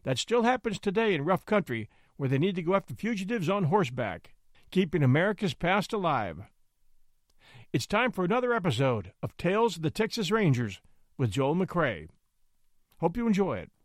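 A man gives a friendly podcast intro in a high, very lively voice that swings up and down. The recording is dry and close. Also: normal speed, dry recording. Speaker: podcast host. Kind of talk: casual scripted intro.

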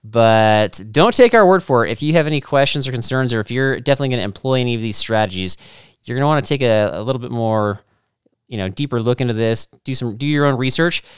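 A man in his thirties hosts a casual podcast and tells stories at his own expense. His voice is very smooth and resonant, at a middle pitch. The recording is dry and close. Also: expressive, fast talker, dry recording. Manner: easygoing, self-deprecating storyteller. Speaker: podcast host. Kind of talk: casual chat.

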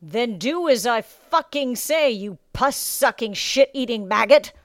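A woman's voice: fairly high pitch, takes it slowly, a little mellow, clear, resonant tone, dry recording, studio quality, voice pushed up. Woman acting a barking, scornful male drill instructor. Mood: bellowing contempt. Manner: barking, taunting, profane. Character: bellowing small thirty-year-old male drill instructor, scornful and commanding. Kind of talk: audiobook character acting.

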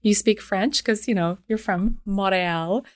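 A woman's voice: medium pitch, unhurried, no room sound. A woman hosts the interview, posing prepared questions in a mid-range voice. She laughs along easily with her guest. The recording is dry and close. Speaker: host.

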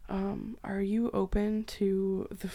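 A woman's voice: medium pitch, flat delivery, takes it slowly, vocal fry, mellow. A woman podcast host chatting casually and informally. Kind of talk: casual chat.

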